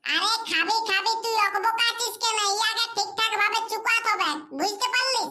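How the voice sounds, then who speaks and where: A childlike cartoon sidekick with an extremely high, sharp squeak. The character speaks in a bossy, chiding village manner. The accent is Purulia Bengali. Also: moderate pace, full character voice. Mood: urging. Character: bossy childlike cartoon villager friend giving brisk advice. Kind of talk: comedy cartoon dialogue.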